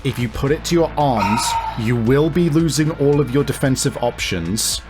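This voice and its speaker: A man running a tabletop game as game master, improvising narration and explaining deliberately with casual swearing. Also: medium pitch, expressive. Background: faint music.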